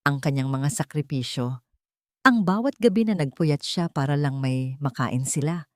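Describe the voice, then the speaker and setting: A woman narrating a scripted romance drama in a measured, melodramatic storytelling manner. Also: low-pitched, a little mellow, no room sound, studio quality.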